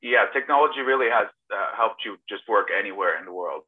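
Phone-like, lowish-voiced man on a call. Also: lively intonation, brisk, breathy edge. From born in Serbia, grew up partly in Toronto, Canada. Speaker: screenwriter, podcast guest. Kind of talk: casual anecdotal interview answers. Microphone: video call. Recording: thin, phone-like internet call audio.

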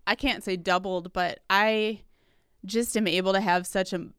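Young American female podcast guest. Casual chat, bright and exceptionally clean tone, dry studio sound. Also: medium pitch, fairly even intonation, unhurried, clean tone, no echo. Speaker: podcast guest, school social worker.

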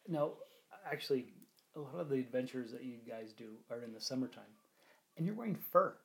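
Fast-talking easygoing man host. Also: medium pitch, lively intonation. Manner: easygoing, quick follow-up questions. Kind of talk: casual interview chat.

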